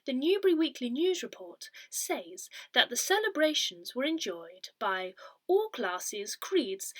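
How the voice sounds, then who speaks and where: A woman reading a scripted newsletter article aloud as narration, in measured, separate phrases. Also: a little high, fairly bright tone. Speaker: newsletter reader.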